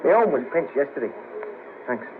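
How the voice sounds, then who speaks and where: Down-on-his-luck ex band manager, male, played by an actor: Merseyside, gloomy and hesitant, varied intonation, 1970s radio play, clear tape noise. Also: mid-range voice, sing-song, normal speed, lightly acted. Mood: downbeat. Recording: hissy narrow-band cassette of 1970s radio broadcast.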